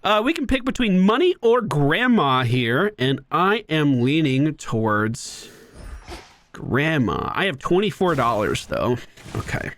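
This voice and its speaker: A man's voice: fairly high pitch, big pitch swings, measured pace, fairly bright tone. A man hosting a gaming channel, giving upbeat, playful live commentary as he plays. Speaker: gaming host.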